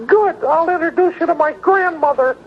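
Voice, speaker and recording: A woman's voice: very high-pitched, normal speed. A woman playing a bantering girlfriend in a scripted radio advertisement. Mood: playful pressing. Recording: thin phone-like vintage radio recording.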